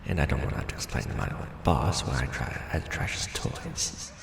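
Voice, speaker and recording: A man's voice: extremely low pitch, lively intonation, brisk, gravelly, noisy background, full character voice. A man playing a nervous, joking young ex-janitor in a roleplay audio drama, hesitant and informal. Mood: wry, cautious.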